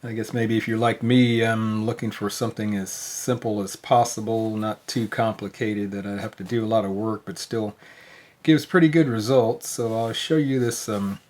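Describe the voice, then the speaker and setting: A man hosting a hobby video in a casual, unscripted way, talking hesitantly with frequent pauses. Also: lowish voice, fairly even intonation, a little mellow. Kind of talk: casual unscripted show-and-tell. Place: at home indoors, later outside in a yard. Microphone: handheld phone.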